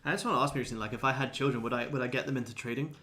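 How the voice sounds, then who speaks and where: A man's voice: medium pitch, steady tone, rapid-fire, pushed and bright, soft background music. A man in his twenties chatting casually as a livestream host answering viewers, laid-back, blokey and sweary. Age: 20s. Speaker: livestream host, day trader.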